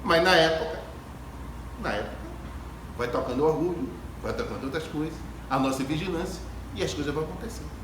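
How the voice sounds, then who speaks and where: A man's voice: fairly high pitch, brisk. A man gives a reflective spiritual lecture to an audience, telling stories emphatically with repetition and rhetorical questions. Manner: emphatic, repeats phrases and asks rhetorical questions. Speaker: speaker at a Spiritist gathering. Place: ecumenical hall before an audience. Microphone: distant phone camera. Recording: echoey hall, distant phone camera, clear background noise.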